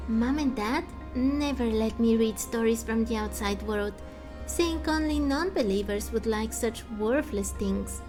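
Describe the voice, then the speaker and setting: A woman's voice: fairly high pitch, unhurried, over music, natural voice. A woman voicing a chatty, self-assured little girl from a game, telling stories in a confiding, matter-of-fact way. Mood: matter-of-fact storytelling. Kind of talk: fan voice-over of game dialogue.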